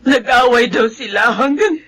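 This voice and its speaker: A woman's voice: a little high, moderate pace, fairly bright tone. An actress playing an anguished village widow, crying through her words in a melodramatic radio drama.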